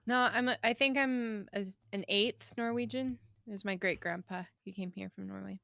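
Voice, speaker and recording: A woman's voice: medium pitch, moderate pace, creaky, pushed and bright, no room sound. A woman chatting lightly in a casual voice call. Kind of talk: casual voice chat.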